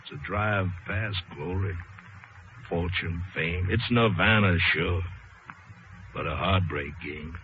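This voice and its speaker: An actor narrating as a hard-boiled, romantic jazz-age balladeer, reciting rhyming slang verse rhythmically in an old radio drama. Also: male voice, low-pitched, slow pace. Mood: dramatic, wistful. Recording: muffled vintage radio, loud music behind.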